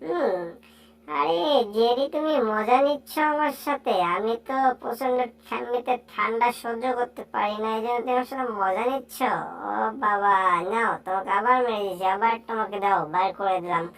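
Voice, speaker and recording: A woman voicing an excitable, teasing cartoon animal pal in a playful, exclaiming amateur dub. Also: a little high, moderate pace, vocal fry, recorded from a distance. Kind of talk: amateur Bangla cartoon dub, improvised chatter.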